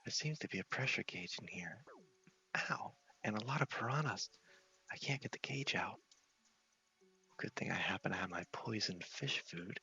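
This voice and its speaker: A man giving soft, half-whispered ASMR commentary on a game playthrough, murmuring to himself and reading text aloud in an unhurried way. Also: on the low side, lively intonation, breathy edge, lo-fi sound. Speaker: game streamer, video host.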